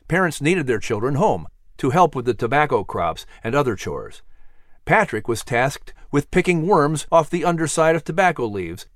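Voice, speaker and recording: Male storyteller for children's audiobook: animated delivery, medium pitch, close dry studio sound. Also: no echo. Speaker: storyteller, narrator. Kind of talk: scripted audiobook narration for children.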